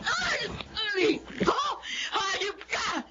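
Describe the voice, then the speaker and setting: A woman playing a betrayed, hysterical wife with shrill, wailing outbursts in a radio drama. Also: big pitch swings, extremely slow, raised voice, over loud music, full character voice. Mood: hysterical, anguished. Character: betrayed, hysterical wife raging at her husband. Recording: muffled radio drama recording.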